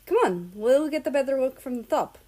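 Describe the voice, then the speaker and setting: A woman voicing an easygoing, teasing male travel companion in a story reading, relaxed and thoughtful in manner. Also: sits high, fairly quick, pitched above the performer's own voice. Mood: awestruck.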